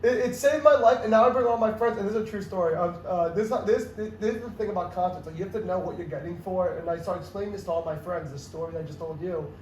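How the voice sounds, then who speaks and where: High-voiced excitable young man, echoey room. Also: quick pace, few pauses. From New York City area. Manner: excitable, rambling, lots of "like" and restarts. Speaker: podcast guest, comedian. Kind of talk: casual storytelling chat. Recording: echoey room, mic at a distance, faint noise.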